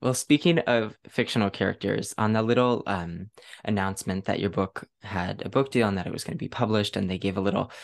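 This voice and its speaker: A man with a low-pitched voice hosts a casual interview. He speaks informally and agreeably, easing into questions in an easygoing conversational style. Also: fairly quick, vocal fry. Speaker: podcast host.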